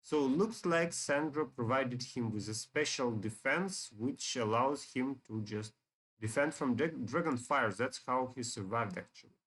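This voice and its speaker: A man narrating a video game casually, with slightly halting phrasing. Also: mid-range voice, fairly bright tone, poor audio. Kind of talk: casual game commentary reading dialogue aloud.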